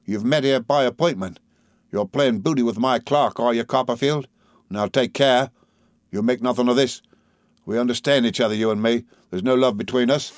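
A man's voice: mid-range voice, moderate pace, no echo, clean studio sound, voice pushed up, close to an everyday voice. A man voicing a spiteful, scheming clerk in an audiobook, sneering and threatening with false familiarity. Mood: menacing.

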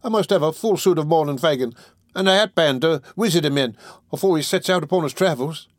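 A man voicing an excitable, cheeky young male pickpocket in a storybook reading, emphatic and slangy. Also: a little fast, no room sound, clean studio sound, lightly acted. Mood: woeful. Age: young adult. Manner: high, emphatic, slangy complaining and exclaiming.

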